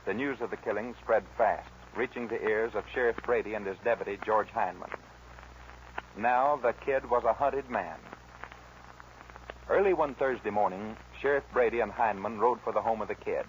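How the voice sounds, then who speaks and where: A man's voice: on the low side, normal speed. A man with an American accent reading scripted narration for a 1940s radio western. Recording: dull, hissy old radio recording.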